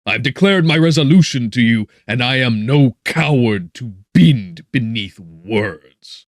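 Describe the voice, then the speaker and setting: A man playing an anguished, defiant scientist confronting his creation in a forceful, declamatory audiobook performance. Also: mid-range voice, lively intonation, unhurried, no room sound, clean studio sound, pitched above the performer's own voice, lightly acted. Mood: defiant anger.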